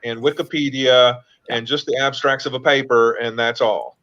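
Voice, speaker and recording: A man's voice: mid-range voice, steady tone, moderate pace, a bit bright. Offhand man with dull call audio. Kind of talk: casual panel chat.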